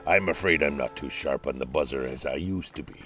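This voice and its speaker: An actor playing a long-winded ghostly old sea skipper with rambling, archaic sailor talk in an old-time radio play. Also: male voice, very deep voice, fairly quick, a bit bright, soft background music. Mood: jovial, eerie.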